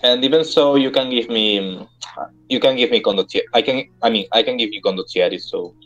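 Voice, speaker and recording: A man playing a strategy game online, talking haltingly and searching for words, often clearing his throat. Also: mid-range voice, fairly bright tone, faint music behind, low-quality recording. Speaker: fellow player. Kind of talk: casual multiplayer voice chat.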